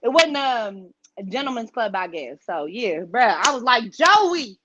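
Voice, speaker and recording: A woman guest on a podcast, telling a story in a casual, profane, animated way and acting out the voices she quotes. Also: fairly high pitch, measured pace, muffled recording, noisy background.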